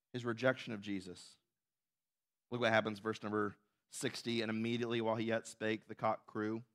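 A man preaching a sermon as pastor, conversational, often asking "right?" and acting out quotes with a raised voice. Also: medium pitch. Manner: animated, acts out quotes with raised voice.

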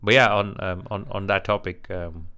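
A man hosts a loose, joking chat. His voice sits a bit low but has a bright, forward edge. He talks informally and likes to tease. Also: fairly even intonation, moderate pace, smooth. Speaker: podcast host.

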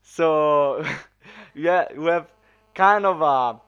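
A young man from Sicily, hosting a casual podcast and often pausing to search for words. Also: on the high side, smooth, dull audio. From Sicily, Italy. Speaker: podcast host.

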